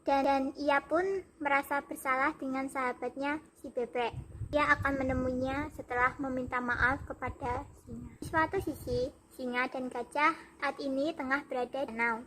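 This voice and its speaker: A woman's voice: high voice, fairly even intonation, normal speed, fairly bright tone, slight background noise, low-quality recording. A young woman narrating a scripted animal fable for a puppet show. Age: young adult.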